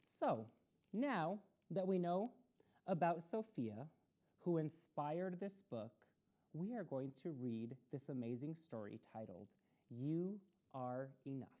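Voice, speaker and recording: A woman with a low, soft-toned voice hosts a read-aloud for children. Her intonation moves up and down in a very lively way. She uses simple, instructive phrasing and puts questions to her young listeners. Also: takes it slowly. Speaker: story time host reading a picture book to children. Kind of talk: read-aloud storytime with explanatory asides.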